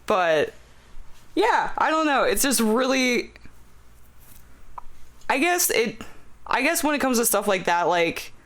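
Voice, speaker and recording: A man's voice: very high voice, big pitch swings, takes it slowly, long pauses, fairly bright tone. A man chatting as a podcast guest about music, rambling with many fillers and frequent laughs. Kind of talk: casual podcast chat.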